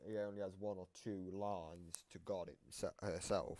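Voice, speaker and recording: Low-voiced man explaining, noisy recording. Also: flat delivery, measured pace. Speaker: host of a reaction video. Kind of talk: casual explanatory commentary on game voice lines.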